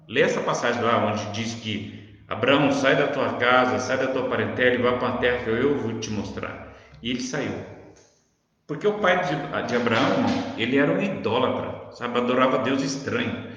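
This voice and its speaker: A man's voice: medium pitch, normal speed, fairly bright tone. A man with a São Paulo Brazilian accent teaching the Bible informally, conversational and didactic, often checking that the listener follows. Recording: very echoey reverberant room, dull sound.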